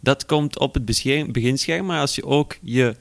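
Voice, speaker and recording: A man's voice: mid-range voice, normal speed, creaky. A Flemish man hosting a casual spoken tutorial, explaining step by step and often searching for his words. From Belgium. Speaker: podcast host demonstrating phone features.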